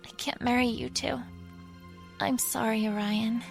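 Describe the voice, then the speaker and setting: Woman acting a gentle young cartoon pony princess, high voice, creaky edges, stammering and apologetic, music behind. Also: fairly even intonation, measured pace. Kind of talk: fan fiction audio drama.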